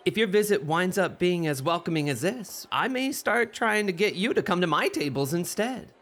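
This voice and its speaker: Male actor as bubbly, teasing bunny club host for ASMR roleplay; high-pitched, very animated delivery. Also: moderate pace, some chatter behind. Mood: flirty. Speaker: guest voice actor in an audio roleplay.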